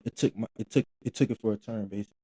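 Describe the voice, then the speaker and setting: A man's voice: on the low side, steady tone, racing, warm tone, slightly rough, no echo. A young man, a former college football player chatting loosely as an interview guest, full of slang and restarts. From Philadelphia, Pennsylvania. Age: 20s. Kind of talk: casual interview talk.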